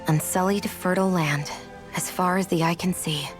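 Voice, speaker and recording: A woman voicing a composed, poised adult warrior in a video game, speaking in a measured, formal manner. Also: fairly low pitch, fairly even intonation, close to an everyday voice. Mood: admiring. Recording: studio voice over game music.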